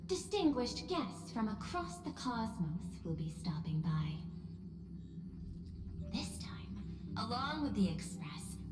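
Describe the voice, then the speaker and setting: A woman playing a poised, shrewd corporate executive in a video game, speaking in a formal, diplomatic, measured way. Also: mid-range voice, lightly acted. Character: poised, courteous, shrewd female corporate executive and planetary governor. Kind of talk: video game story voice acting. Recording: roomy long reverb, music behind.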